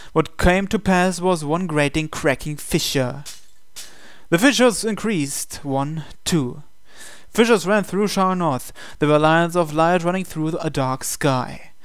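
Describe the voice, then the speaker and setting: A man reading scripted, dramatic story narration in a measured, solemn way. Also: a little high, clear, resonant tone, no room sound. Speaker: narrator reading translated game text. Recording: music behind the voice. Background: music.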